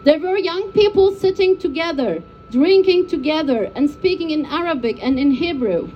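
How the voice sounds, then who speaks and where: A woman's voice: sits high, animated, normal speed, highs cut off, slight background noise. A woman from Turkey, a peace activist, giving a prepared, personal public speech with earnest emotion.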